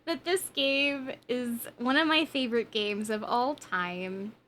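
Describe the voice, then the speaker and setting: A woman's voice: fairly high pitch, slow, fairly bright tone. A woman in her twenties chatting casually with viewers on a game livestream, with a chatty, bubbly, giggly manner. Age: 20s. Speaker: game streamer.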